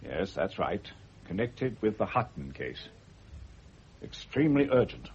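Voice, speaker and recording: A very deep-voiced doctor in a radio drama, measured and authoritative. He gives orders gently and questions with calm persistence. The old recording is dulled, with clear background noise. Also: muffled recording. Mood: urgent, formal. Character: calm, probing male doctor questioning a patient. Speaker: actor.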